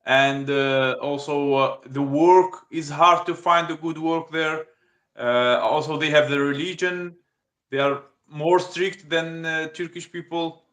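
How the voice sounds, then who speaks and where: A man's voice: a little high, fairly even intonation, slow pace, a bit bright, roomy sound. A man from Algeria with Arabic and as languages, chatting casually in as a guest and often hesitating. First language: Arabic and Russian. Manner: hesitant, many fillers and restarts. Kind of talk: casual video chat.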